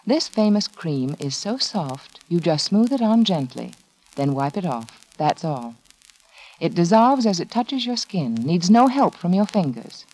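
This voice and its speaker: A woman with a mellow, fairly low voice delivers a vintage 1940s radio commercial. She is confiding and persuasive, with lively intonation on her scripted lines. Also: unhurried, rough recording. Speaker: commercial spokeswoman.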